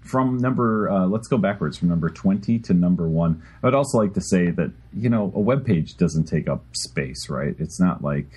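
Husky deep-voiced guy rambling on a podcast, keeps cutting himself off. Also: expressive, moderate pace, hardly any pauses, darkish tone. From Chicago area. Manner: rambling, self-interrupting, laughs between words. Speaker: podcast co-host. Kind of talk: casual podcast chat.

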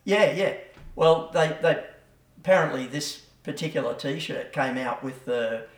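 Older bloke spinning a long yarn, kinda high voice, echoey room, sitting back from the mic. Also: male voice, a little slow, far from the mic. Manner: rambling yarn-teller with slang and laughs. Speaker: podcast guest. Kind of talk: casual storytelling. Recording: roomy, from off the mic.

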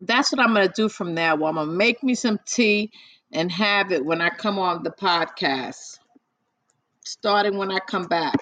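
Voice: medium pitch, normal speed, a bit bright, no room sound. A podcast host chatting casually and easily, often repeating words and drawing them out. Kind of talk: casual live chat.

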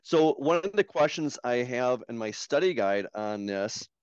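A man's voice: mid-range voice, animated, unhurried, darkish tone, clean tone, dry recording. A man who is a pastor and author, hosting a podcast and leading the conversation with stories and questions. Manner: storytelling, leads the talk, asks questions. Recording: slightly dull, lo-fi mic.

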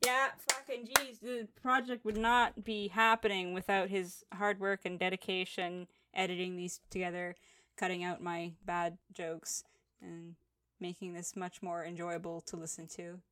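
A woman's voice: on the low side, flat delivery, a little slow, bright, forceful tone. Woman, podcast co-host, casual chat, giggly, teasing, swears freely.

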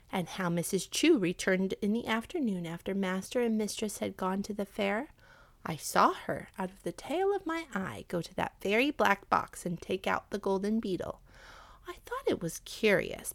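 Storybook cat: clever, scheming male, confident and persuasive, gravelly, dry close sound; a woman reader putting him on. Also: very animated, moderate pace, dry recording. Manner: confident, explanatory, slightly raised pitch.

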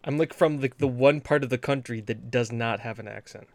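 A man from New England rambling through a casual gaming podcast, given to long, jokey rants. His voice sits at a middle pitch. It is recorded close and dry. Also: a little fast, gravelly, a little mellow, no echo. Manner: rambling, rant-prone, joking. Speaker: podcast co-host. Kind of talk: casual chat.

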